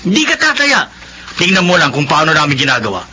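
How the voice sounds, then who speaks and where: An actor playing a pushy, persuasive gambling buddy in a radio drama, goading and cajoling. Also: male voice, a little high, huge pitch swings, fairly quick, pushed and bright, exaggerated voice. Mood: coaxing. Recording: dull, faintly noisy radio recording.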